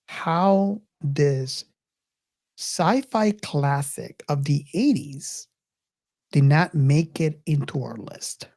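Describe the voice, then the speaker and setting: A middle-aged woman hosting a casual film discussion, speaking reflectively and haltingly as she circles back over her phrases. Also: sits low, darkish tone, no room sound, studio quality. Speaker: podcast host.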